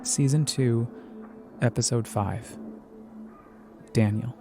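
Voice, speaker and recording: A man's voice: medium pitch, slow, loud music behind the voice. A male podcast host giving a reflective, earnest scripted narration.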